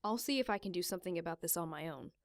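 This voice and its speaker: A woman's voice: lowish voice, lively intonation, very fast, dry recording, lightly acted. A woman voicing a cool, self-assured woman with dry, teasing wit in a game fan dub.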